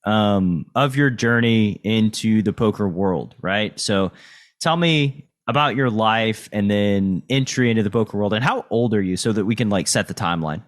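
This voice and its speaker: A man hosting a casual interview, asking questions in a halting, dry way. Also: lowish voice. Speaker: podcast host, interviewer.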